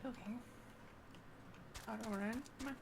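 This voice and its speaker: A woman with a fairly high voice chats casually to herself while streaming a game. She speaks in short, offhand remarks. The recording carries clear background noise from the game. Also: fairly even intonation, measured pace. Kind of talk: casual self-talk while gaming on a livestream. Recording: clearly noisy livestream audio.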